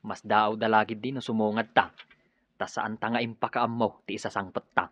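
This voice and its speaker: A man's voice: fairly low pitch, little pitch movement, normal speed, a bit bright, no room sound. A man with a Filipino accent playing an inquisitive, chummy travelling buddy in a radio drama. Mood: curious, casual. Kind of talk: Ilocano radio drama acting.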